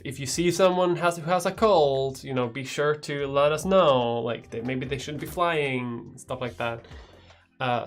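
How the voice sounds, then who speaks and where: A woman in her thirties with a Swedish accent, chatting casually on a livestream with lots of restarts and casual swearing. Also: very deep voice. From Sweden. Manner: rambling, swears casually, frequent restarts and laughs. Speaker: YouTuber hosting a livestream. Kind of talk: casual livestream chat reading chat questions.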